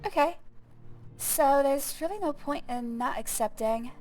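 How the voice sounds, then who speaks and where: A woman's voice: high voice, lively intonation, a little slow, darkish tone, faint music behind. A young woman who streams games, chatting casually and thinking aloud in a self-deprecating way as she plays. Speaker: gaming streamer. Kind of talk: casual gameplay commentary.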